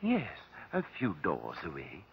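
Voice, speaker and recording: An actor playing a dignified, guarded professor who answers formally and precisely, in an old radio drama. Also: male voice, low voice, huge pitch swings, measured pace, vocal fry, slightly rough, full character voice. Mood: flustered, defensive. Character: flustered, dignified male professor, long-serving colleague of victim. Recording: dull, lo-fi old radio recording.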